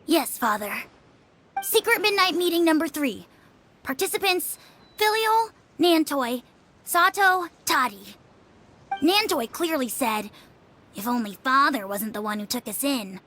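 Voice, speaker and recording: An actress voicing an obedient young child in a video game, reporting others' misdeeds with precise, accusing calm. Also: female voice, sits high, measured pace, a little hiss or hum, heavily acted. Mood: cool, accusing.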